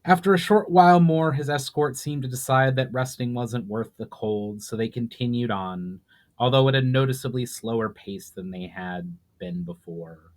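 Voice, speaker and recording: Male author-narrator, medium pitch, measured dramatic audiobook style, slightly imperfect live read. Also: darkish tone. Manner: measured reading with occasional stumbles and self-corrections. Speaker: author reading own book aloud.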